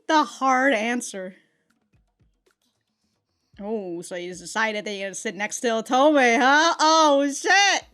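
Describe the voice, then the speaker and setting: A woman's voice: a little high, lively intonation, slow pace, pauses often, sharp tone, clean tone, faint music behind. A woman giving casual reaction commentary, slangy and exclamatory, swearing freely and often breaking into giggles. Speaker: reaction video host.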